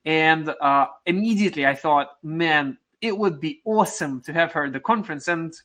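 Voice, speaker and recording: A man's voice: on the high side, normal speed, a bit bright. A man hosting a conference interview, friendly and casual, with many fillers. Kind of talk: conversational interview.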